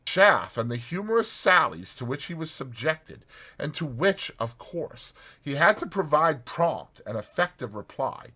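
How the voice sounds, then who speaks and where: A man narrating an audiobook as a dramatized story, in a theatrical, expressive manner. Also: on the high side.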